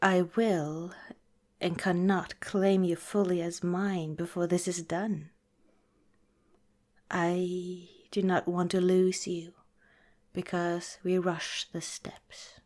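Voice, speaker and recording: A woman acts out a protective female vampire girlfriend for ASMR. Her voice is fairly low and very slow, soft and slipping into whispers. She sounds earnest and careful, as if giving serious warnings to someone dear. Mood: earnest, solemn. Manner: soft, deliberate, breathy whispering in places. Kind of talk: ASMR roleplay, partly whispered.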